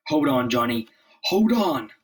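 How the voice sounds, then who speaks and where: A man's voice: fairly high pitch, big pitch swings, measured pace, voice pushed up. A man voicing an earnest, commanding superhero leader in a declamatory comic-book drama style. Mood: alarmed.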